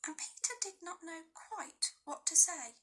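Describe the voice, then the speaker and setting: A woman teacher reading a children's novel aloud with gentle, expressive storytelling. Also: extremely high pitch, measured pace.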